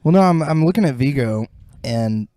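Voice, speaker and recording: A man with a relaxed, mellow voice of middling pitch talks casually as a podcast panelist. He is blunt, opinionated and sweary, and the sound is dry and close. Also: normal speed, dry recording. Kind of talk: casual chat.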